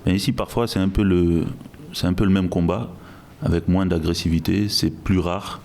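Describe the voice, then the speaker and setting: Man from Guinea, 30s, guest on a podcast. Very low, dark, even delivery, measured, restarts often. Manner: measured, reflective, frequent restarts. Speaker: guest, logistics director. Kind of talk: podcast interview.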